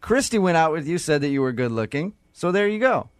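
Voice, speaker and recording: A man hosts casual radio call-in banter at a brisk clip, his pitch moving in lively swings. He is blunt and likes to tease. The sound is very dry and close. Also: clean tone, no room sound. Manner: blunt, teasing. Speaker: radio show host.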